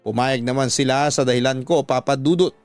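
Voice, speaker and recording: A man's voice: mid-range voice, fairly even intonation, a little fast, smooth, no room sound, soft background music. A man with a Filipino accent narrating a scripted first-person story dramatically, as a radio host reading a listener's letter.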